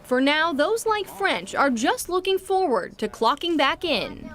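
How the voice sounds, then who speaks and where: High-pitched American woman reporting news. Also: big pitch swings, unhurried, a bit bright. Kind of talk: scripted news report narration.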